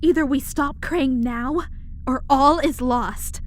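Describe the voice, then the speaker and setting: A woman's voice: high voice, takes it slowly, a little hiss or hum. A woman playing an earnest young alien princess, urgent and formal, in a sci-fi audio drama. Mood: urgent, pleading.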